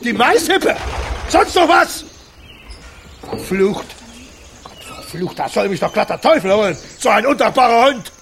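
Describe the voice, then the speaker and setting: An actor playing an irritable, cursing country justice of the peace in a radio drama, barking orders in an agitated way. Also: male voice, very high-pitched, very animated, unhurried, vocal fry, pauses often, fairly bright tone, slight background noise, exaggerated voice. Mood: furious.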